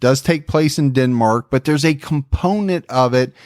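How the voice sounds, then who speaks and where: A man hosting a true crime podcast, telling the story in a relaxed, friendly way and chatting with his co-host. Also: medium pitch, moderate pace, dry recording, studio quality.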